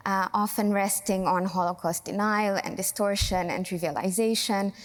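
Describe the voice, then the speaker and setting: A woman from Romania speaking as a civil society panelist in a panel discussion, earnest and measured, with frequent pauses and fillers. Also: medium pitch, long reverb tail.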